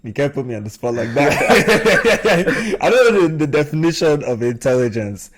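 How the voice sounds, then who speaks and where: A man's voice: fairly high pitch, sing-song, normal speed, over a noisy crowd. A young adult man hosting a podcast in a casual conversational style. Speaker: podcast host.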